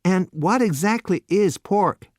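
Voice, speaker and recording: Man voicing a naive teenage boy, excitable, exclaiming, raised pitch, lively, storybook. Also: unhurried, no echo, clean studio sound. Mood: puzzled. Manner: excited, wide-eyed, exclaiming. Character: naive, eager seventeen-year-old boy.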